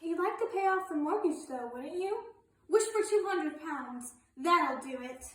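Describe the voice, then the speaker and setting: A woman's voice: very high voice, lively intonation, a little slow, far from the mic. A young woman in an amateur school play acting an eager, dreamy young daughter. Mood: teasing. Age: young adult. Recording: echoey room.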